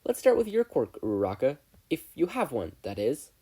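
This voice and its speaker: A narrator plays an awkward, earnest teenage boy in an audiobook story. The delivery jumps about excitedly, apologetic and self-deprecating, in a soft-toned, husky voice. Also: mid-range voice, normal speed, pitched above the performer's own voice, exaggerated voice. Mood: curious. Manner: flustered, eager, apologetic. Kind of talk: fan fiction audiobook character voice.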